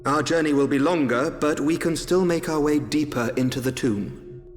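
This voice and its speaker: An actor playing a serious, composed advisor in a game, speaking formally and with measured care. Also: male voice, fairly high pitch, natural voice. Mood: calm reproof. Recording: reverberant hall, faint music.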